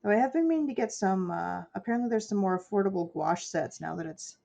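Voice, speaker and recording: Stuffy-nosed woman with a gravelly edge. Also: mid-range voice, lively intonation, fast talker, mellow. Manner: chatty, explaining her steps as she works. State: stuffy nose from a cold. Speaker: artist streaming her painting. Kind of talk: casual chat while painting.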